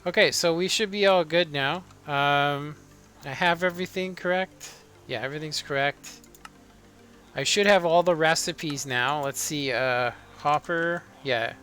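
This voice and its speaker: A man in his thirties, a live streamer chatting casually and rambling with lots of fillers in a monotone way. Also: on the high side, a little slow. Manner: relaxed, rambling, many fillers. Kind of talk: casual live-stream chat while playing. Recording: faint background music.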